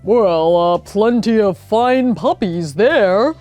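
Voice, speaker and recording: A short, mustached pet shop man from a storybook, played by a woman, proud but hesitant in his patter. He talks slowly in a mellow tone over faint music. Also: mid-range voice, lively intonation. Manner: slow, hesitant salesman's patter. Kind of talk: children's storybook character voice.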